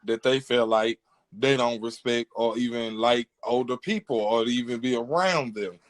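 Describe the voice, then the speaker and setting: A man speaks as a podcast host in a medium-pitched voice. He sounds earnest and informal, laying out his opinions in casual conversation. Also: moderate pace.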